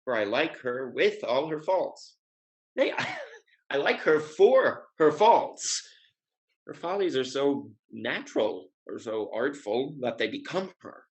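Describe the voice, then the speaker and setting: A man playing a witty, urbane gentleman playboy in a Restoration comedy, speaking wry, elaborate period phrases in an articulate way. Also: medium pitch, sing-song, slow. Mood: wry, fond. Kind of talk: Restoration comedy play reading.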